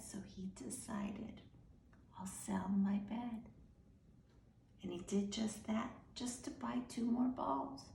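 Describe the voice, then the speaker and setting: A woman reading a children's story aloud expressively, with dramatic emphasis and some hushed phrases. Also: fairly high pitch, echoey room, slight background noise. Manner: animated, dramatic, dropping into hushed breathy phrases.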